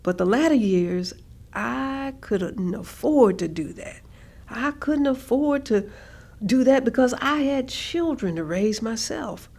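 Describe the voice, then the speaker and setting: An actress playing an older woman, recalling hard working years in a measured, reflective staged reading. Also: on the high side, expressive, vocal fry, darkish tone, heavily acted. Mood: weary, wistful. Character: older woman, former hospital worker, plainly recalling segregation-era hardship. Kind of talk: staged reading of oral history.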